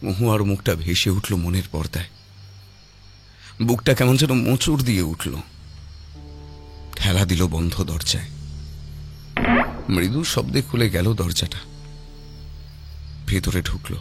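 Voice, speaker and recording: Male horror audiobook narrator, lowish pitch, dramatic suspenseful phrasing, scripted read over loud music. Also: unhurried, pauses often.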